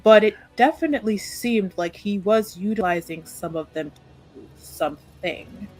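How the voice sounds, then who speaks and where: A woman's voice: medium pitch, normal speed, darkish tone, faint music behind, close to an everyday voice, low-quality recording. A woman playing a guarded, aggrieved, well-to-do city woman in a tabletop roleplay, with curt, careful phrasing. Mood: guarded, grave.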